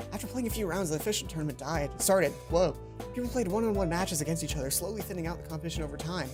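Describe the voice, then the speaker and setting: A woman let's play host reading visual novel text aloud with casual asides, sometimes stumbling and correcting herself. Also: deep voice. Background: game music.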